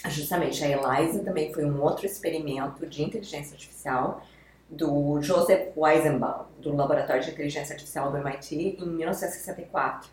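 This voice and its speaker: A woman with a notably low voice, explaining things patiently one step at a time. She sounds like a guest expert walking listeners through examples. The recording has a roomy echo around her. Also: fast talker, darkish tone, a little hiss or hum. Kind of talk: explanatory podcast talk.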